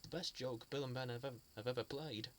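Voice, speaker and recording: A man fondly recalling a children's show he loves, speaking in an earnest, halting way with frequent restarts and repeated words. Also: mid-range voice, a little hiss or hum. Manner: earnest and fond. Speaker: fan sharing memories. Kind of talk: casual spoken reminiscence.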